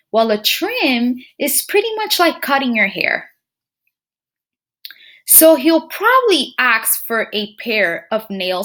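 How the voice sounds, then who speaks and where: Female children's read-aloud teacher: slow, very lively pitch, slightly high voice, engaging listeners with questions. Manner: expressive, addresses listeners as friends and scholars, checking in with questions. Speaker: teacher reading a picture book aloud.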